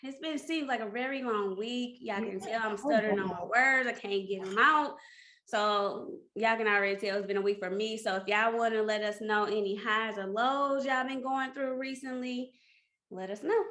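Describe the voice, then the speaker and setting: Bright, chatty St. Louis woman host. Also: a little high, moderate pace, echoey room. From St. Louis, USA. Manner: chatty, laughs easily. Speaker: podcast host leading the episode. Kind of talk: casual group chat.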